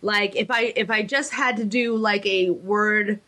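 A woman in casual podcast chat who rambles through her points and keeps breaking into giggles. Her voice sits at a medium pitch. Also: steady tone, measured pace, bright, forceful tone. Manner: rambling, giggly, lots of fillers.

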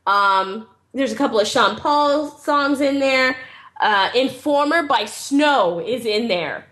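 High-voiced excitable woman podcast host. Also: slow. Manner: chatty, excitable, swears casually. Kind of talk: casual podcast chat.

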